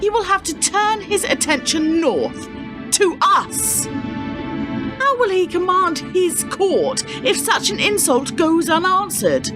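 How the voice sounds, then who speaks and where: A woman playing a wounded, reproachful medieval wife in a radio drama, speaking archaic English in a measured, declamatory way. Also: extremely high pitch, very animated, full character voice. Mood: accusing, alarmed. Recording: radio play with loud music behind.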